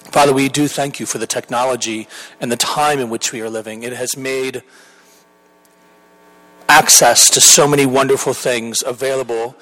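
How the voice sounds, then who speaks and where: A man's voice: mid-range voice, fairly bright tone, poor audio. A man in his thirties, a pastor, earnestly introduces a guest at church and leads a prayer, rambling with restarts.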